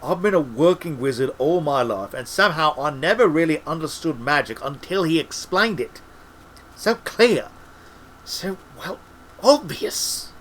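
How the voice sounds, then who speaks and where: A man voicing a senior wizard in a storybook, earnest, weary and muddled, halting as he searches for words. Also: fairly high pitch, expressive, a bit bright, a little hiss or hum, poor audio.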